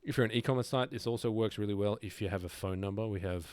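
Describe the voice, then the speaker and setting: A man's voice: lowish voice, quick pace, a little mellow, no echo. A man presenting an informal screen-share tutorial, explaining things casually and thinking aloud as he goes.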